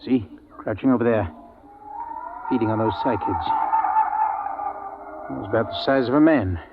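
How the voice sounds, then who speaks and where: An actor playing a matter-of-fact safari tracker giving terse instructions to amateur hunters in an old-time radio drama. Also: male voice, mid-range voice, moderate pace, natural voice. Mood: terse instructing. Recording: tinny vintage radio recording, faint noise.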